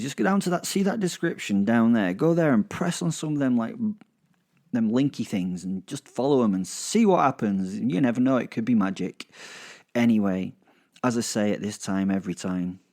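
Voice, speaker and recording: Male podcast storyteller, low pitch, mellow dark tone, informal, rambling delivery. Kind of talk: first-person dramatic fantasy narration.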